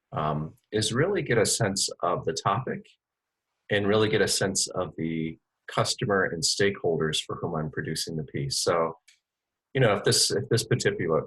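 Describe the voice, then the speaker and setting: A man, a lettering artist, talks about his work in a measured, articulate way with occasional fillers. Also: sits low, a bit bright, husky, poor audio. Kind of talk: casual interview answers.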